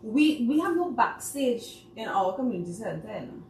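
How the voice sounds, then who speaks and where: A woman's voice: on the high side, sing-song, normal speed, darkish tone. A Trinidadian woman talking as a guest on a talk show, passionate and emphatic, laughing often. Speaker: guest, theatre producer. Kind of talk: casual talk show chat. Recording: roomy sound from a distant mic with faint noise.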